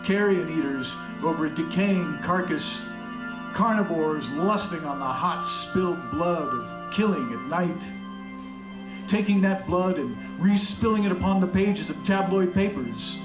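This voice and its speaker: An actor voices a murdered man narrating radio noir in a slightly high male voice, very slow and florid. He is bitter and brooding, in a roomy space with guitar music behind him. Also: expressive. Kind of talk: poetic radio noir monologue.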